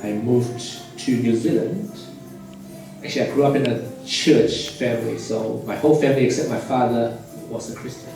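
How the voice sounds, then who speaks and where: A man from Malaysia in his forties, a surgeon telling his life story to a church youth group in a relaxed, plain way with many "um"s. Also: medium pitch, normal speed. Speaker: surgeon giving a testimony. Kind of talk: personal testimony talk to youth. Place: church hall. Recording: very echoey hall, voice from far off, faint music.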